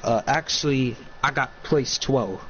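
A man's voice: mid-range voice, measured pace, muffled recording. Bickering teen boy on noisy voice chat. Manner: bickering, excitable. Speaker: fellow player. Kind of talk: casual gaming banter.

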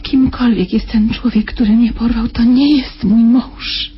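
An actress playing a desperate captive wife in a radio drama, imploring and halting as she pleads to be believed. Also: a little high, fairly even intonation, close to an everyday voice. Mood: desperate pleading. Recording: dull, noisy old radio drama recording.

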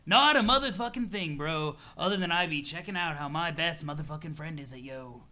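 Casting: man performing a mellow stoner troll for a game, pitched under the livelier roles, awed and rambling. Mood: dreamy, laid-back. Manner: slow-witted, rambling, sweary, dreamy.

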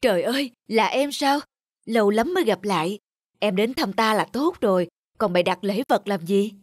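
A woman's voice: mid-range voice, animated, moderate pace, no echo, clean studio sound, lightly acted. A woman voicing a pompous, lazy, greedy male district magistrate in a cartoon folk tale. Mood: falsely welcoming.

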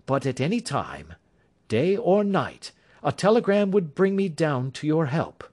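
A man's voice: a little high, very animated, unhurried, darkish tone, voice pushed up. A man reading aloud, voicing a keen, grave and impatient male detective in a storybook reading. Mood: reassuring. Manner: crisp, grave, impatient outbursts. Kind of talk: audiobook character reading.

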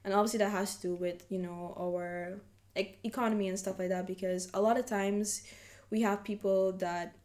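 Young guyanese woman, chatty guest on a call, mid pitch. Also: flat delivery, moderate pace, a little mellow. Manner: chatty, laughs often, acts out quoted reactions. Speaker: podcast guest. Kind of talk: casual conversational interview.

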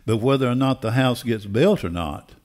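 An older man preaching a sermon in an emphatic, deliberate way, repeating phrases for weight. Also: medium pitch, darkish tone. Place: church auditorium stage. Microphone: headset.

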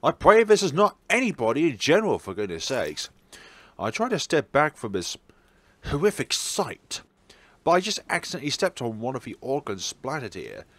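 A man's voice: medium pitch, big pitch swings, moderate pace, fairly bright tone, no room sound. A man hosting a let's play, reading a visual novel aloud theatrically and adding wry, playful asides. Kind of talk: playful gaming commentary and expressive read-aloud of a visual novel.

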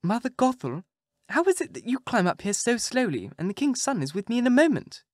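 A narrator voicing a sheltered, naive young maiden in a storybook reading, speaking softly and innocently. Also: mid-range voice, big pitch swings, fairly quick, no room sound, studio quality, voice pushed up, natural voice.

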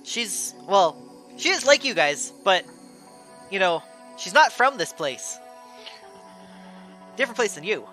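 Bright, higher-voiced man running a tabletop game. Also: sing-song, a little slow, long pauses. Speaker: game master. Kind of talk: improvised narration of a tabletop game. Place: small room at home, on a video call. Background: background music.

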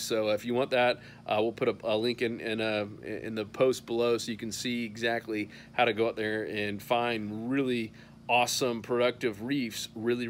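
An upbeat man in his thirties runs through a weekly roundup, chatty and loose, full of small "uh" fillers. His voice sits at an ordinary middle pitch, caught on a phone held at arm's length on an outdoor patio, with a faint hum behind him. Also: fairly bright tone. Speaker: fishing newsletter host. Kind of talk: casual weekly roundup to camera.

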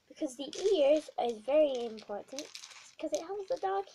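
A young girl with a bad cold and a croaky voice, chatting through a craft tutorial vlog in a small bedroom, rambling with many ums. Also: high-pitched, expressive, moderate pace, background noise. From not American. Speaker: craft vlogger. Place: small dim bedroom indoors.

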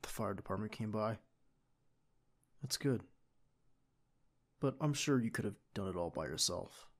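A young man playing a devoted secret agent boyfriend in an audio roleplay, with a husky, gravelly voice and an earnest manner. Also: on the low side, animated, measured pace. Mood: gentle, comforting. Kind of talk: ASMR boyfriend roleplay acting.

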